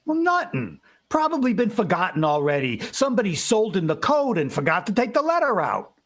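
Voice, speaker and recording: A woman's voice: mid-range voice, big pitch swings, fairly quick. A woman playing an easygoing, dismissive husband in a vintage-style radio play, with an offhand manner. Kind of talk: vintage radio drama acting.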